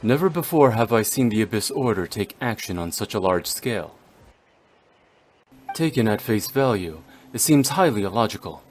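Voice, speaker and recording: A man's voice: fairly low pitch, big pitch swings, normal speed. A man voicing a stoic, cold and brooding warrior in a video game, with solemn, formal, terse delivery. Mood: grim, calculating. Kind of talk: dramatic video game voice acting.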